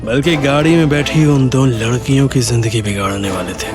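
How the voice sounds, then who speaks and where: A man voicing a calm, menacing young vigilante hero in a Hindi audio story, deliberate and coolly taunting. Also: medium pitch, over music, close to an everyday voice. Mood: cool, confident.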